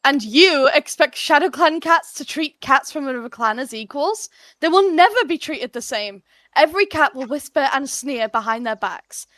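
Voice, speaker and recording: A woman's voice: high voice, lively intonation, moderate pace, a bit bright, no room sound. A woman voicing a proud, protective mother cat with an intense, hissing, emphatic manner in an animal roleplay story. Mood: angry, defiant.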